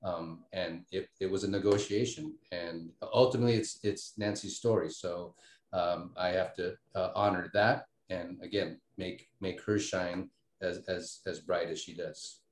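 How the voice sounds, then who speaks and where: A middle-aged or older man reflecting in a post-show discussion as a director, speaking in a measured, halting way. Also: deep voice, fairly even intonation, roomy sound.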